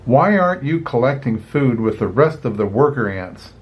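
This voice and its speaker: An older man voicing a stern, bossy, angry male worker ant who scolds in a gruff manner in a children's storybook. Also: mid-range voice, sing-song, normal speed, muffled recording, close to an everyday voice. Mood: angry, scolding.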